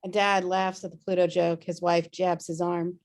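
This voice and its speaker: A woman's voice: fairly low pitch, level tone, normal speed. A woman hosting a table read as its writer, introducing it informally and reading out stage directions.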